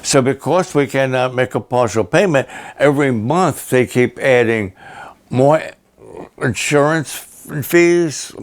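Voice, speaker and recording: This is a raspy, hoarse older man speaking at a very slow, unhurried pace. His pitch sits in a middle range. He is a pastor giving a plain personal update with halting pauses and repeated phrases. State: hoarse after illness and a long program.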